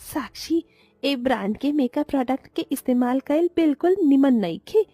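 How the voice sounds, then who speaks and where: A woman's voice: sits high, animated, moderate pace, mellow, no echo. A woman voicing a meek, naive young village daughter-in-law in a cartoon, pleading humbly with a Bhojpuri accent. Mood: earnest. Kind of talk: cartoon moral story voice acting.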